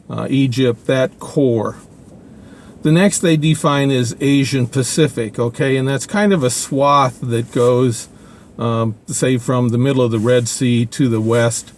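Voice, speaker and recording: An older man talking his thoughts through to camera in a casual monologue, pausing often with fillers and restarts. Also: mid-range voice, darkish tone. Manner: halting, many fillers and restarts. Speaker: video blogger.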